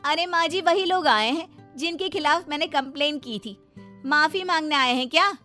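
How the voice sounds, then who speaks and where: A woman's voice: sits high, moderate pace, bright, forceful tone, soft background music, pitched above the performer's own voice. A woman voicing a feisty, outspoken young married woman in a cartoon story, with theatrical indignation. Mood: puzzled.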